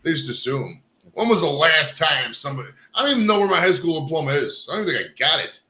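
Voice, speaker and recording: A man's voice: a little high, animated, fast talker, pushed and bright. A man hosting a casual podcast, talking loosely and swearing often, with lots of bro and dude. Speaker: podcast host. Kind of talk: casual chat.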